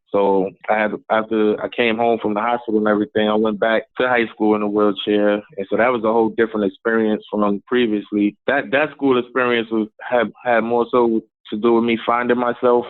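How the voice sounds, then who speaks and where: A man's voice: lowish voice, level tone, fairly quick, few pauses, no room sound. A young man, from the Bronx, answering interview questions in a laid-back way with fillers. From South Bronx, New York. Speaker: guest, hip-hop artist. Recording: tinny phone-like line, dry.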